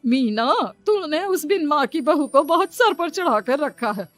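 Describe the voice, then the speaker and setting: A woman's voice: high voice, animated, unhurried, dry recording. A woman voicing a judgmental, meddling middle-aged neighbour in a cartoon family story. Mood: spiteful warning.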